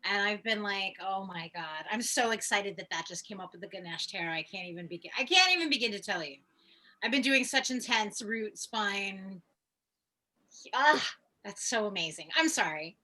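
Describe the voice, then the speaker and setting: A woman chatting casually on a video call as host, with an effusive, excitable and gushing way of talking. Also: mid-range voice, normal speed. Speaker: host of a tarot gathering.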